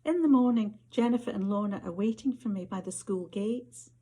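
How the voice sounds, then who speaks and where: A woman with a Scottish accent reading short stories aloud as an author, her voice husky. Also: medium pitch, moderate pace, dark tone. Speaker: author reading their fiction.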